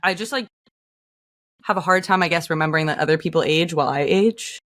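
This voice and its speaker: A young woman in her early twenties talks casually on a podcast with her mother. Her voice is a bit low, and her speech is loose and conversational, with plenty of "like" fillers. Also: fairly quick, fairly bright tone, no room sound, studio quality. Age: young adult. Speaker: podcast co-host, daughter. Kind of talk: casual chat.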